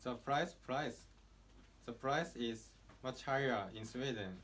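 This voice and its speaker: A man's voice: mid-range voice, slow pace, fairly bright tone, a little hiss or hum. A young man, listing things word by word in an interview. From Japan. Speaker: exchange student. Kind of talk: casual interview answers.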